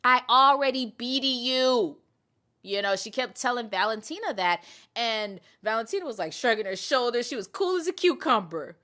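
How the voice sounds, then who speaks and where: A woman's voice: a little high, moderate pace. A woman hosting a commentary channel, talking casually and emphatically to viewers in slangy language, repeating her points and acting out quotes. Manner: animated retelling, acting out quotes; informal slangy talk with mild swearing. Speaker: host of an MMA commentary channel.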